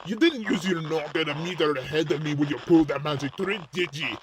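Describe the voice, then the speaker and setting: A man's voice: fairly high pitch, fairly quick, crowd noise, close to an everyday voice. A man voicing a blustering, indignant, hot-tempered Celtic golf warrior in a cartoon fight show. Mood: furious. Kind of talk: animated fight-show character acting.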